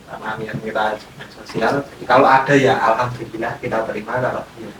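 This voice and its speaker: A man's voice: fairly low pitch, level tone, a little fast. A man, chatting casually as a podcast guest. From Indonesia. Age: 20s. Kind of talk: casual interview chat. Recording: echoey, distant, noticeable background noise.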